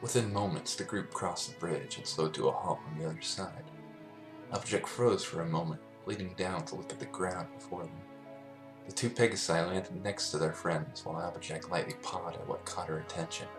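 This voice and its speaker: A man's voice: low-pitched, a little fast. A man reading a story aloud as scripted narration, with music playing behind him. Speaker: fan fiction reader, narrator. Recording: echoey room, music behind. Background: background music.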